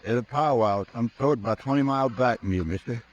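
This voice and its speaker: A grim, hard-riding cowboy, as an actor plays him speaks in short, low lines in a 1950s radio drama. He sounds weary and serious. The old broadcast has a dull, muffled quality. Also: fast talker, slight background noise, lightly acted. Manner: terse, grim and low-voiced.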